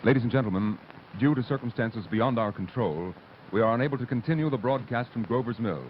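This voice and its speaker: Man as brisk, formal 1930s radio announcer. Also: mid-range voice, lightly acted. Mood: composed. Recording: muffled, faintly noisy radio broadcast.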